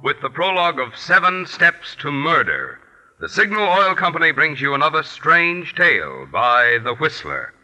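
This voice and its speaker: A man's voice: on the high side, sing-song, moderate pace, faint music behind. A man announcing a mystery program for its sponsor in 1940s radio style. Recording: 1940s radio, very reverberant, sharp sound.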